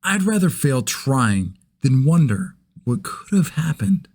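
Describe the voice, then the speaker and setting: Hero male actor: determined, earnest, medium pitch, slightly rough edge, scripted character read. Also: sing-song, takes it slowly, darkish tone, full character voice. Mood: resolute. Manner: earnest, resolute. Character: determined, earnest heroic male fighter facing bad odds.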